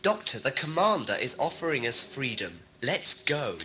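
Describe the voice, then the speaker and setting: A sarcastic young Earthman companion in a space adventure audio play, played by a man. His voice is bright with a lively, swinging pitch, and he questions everything sceptically. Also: normal speed. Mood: anxious. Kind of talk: science fiction audio drama acting.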